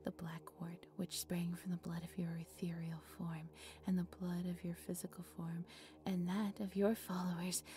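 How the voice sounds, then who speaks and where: A woman playing an obsessive, devoted female cult leader in a roleplay audio drama, with a breathy, reverent, theatrical delivery. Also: on the low side, flat delivery, normal speed, mellow, a little hiss or hum, natural voice. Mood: hushed, controlled. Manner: breathy, reverent, theatrical incantation with nervous stammers.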